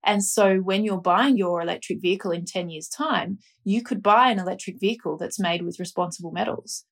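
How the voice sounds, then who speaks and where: A woman's voice: medium pitch, a little fast. A woman, an expert guest on a panel, explaining her points with confident everyday examples. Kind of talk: panel discussion.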